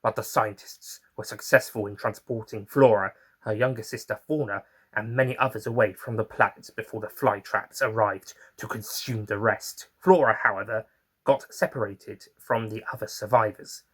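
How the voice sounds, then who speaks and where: A man's voice: lowish voice, fairly even intonation, normal speed, fairly bright tone, husky. Man playing an eccentric, theatrical storytelling time-traveller. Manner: theatrical, fond asides to listeners. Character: eccentric, chatty, whimsical male time-traveller narrating his own adventures. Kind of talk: first-person audio story narration.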